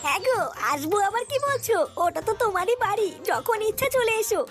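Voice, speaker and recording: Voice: very high-pitched, animated, fairly quick. A performer voicing a flirtatious married sister-in-law in a comic cartoon, with a coy, coaxing manner.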